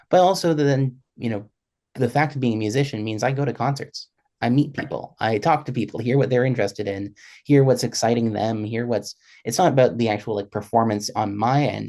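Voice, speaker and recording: A man's voice: on the low side, a little mellow. A man speaking as a panelist in an unscripted discussion, thinking aloud and rephrasing as he goes. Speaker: panelist, radio broadcaster.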